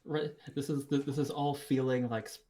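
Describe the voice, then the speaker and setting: A man's voice: medium pitch, steady tone, moderate pace. A young man with a mid-range voice, speaking in casual podcast chat. He teases his co-host with dry, joking remarks. A faint hiss sits under the voice.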